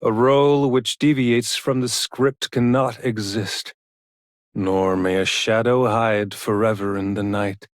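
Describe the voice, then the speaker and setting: A man's voice: fairly low pitch, fairly even intonation, takes it slowly, no room sound, studio quality. A man voicing a brooding stage performer in a game, poetic and grandiose in delivery. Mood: solemn, theatrical. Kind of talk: dramatic game character acting.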